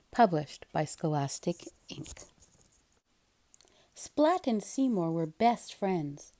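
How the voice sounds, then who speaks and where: A woman reading a children's picture book aloud in an expressive narrating style. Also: on the low side. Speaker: storybook reader.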